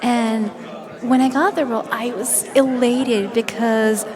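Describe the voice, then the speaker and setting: A woman's voice: fairly high pitch, steady tone, measured pace. A woman from Japan, a voice actor guest, chatting in a bubbly way with frequent laughs. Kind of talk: casual interview chat. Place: event venue with crowd. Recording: loud crowd behind.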